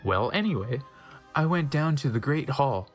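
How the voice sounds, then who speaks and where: A man's voice: medium pitch, sing-song, moderate pace. Wry man reading a story over music. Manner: wry, stumbles over misspelled words and comments on them. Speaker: narrator reading fan fiction aloud. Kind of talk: dramatic comedic reading with offhand asides.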